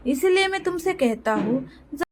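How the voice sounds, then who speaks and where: A woman voicing a high-pitched, worried old village grandfather. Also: animated, measured pace, background noise. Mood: admonishing. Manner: lamenting, pleading, repeats himself. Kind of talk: moral story cartoon dialogue.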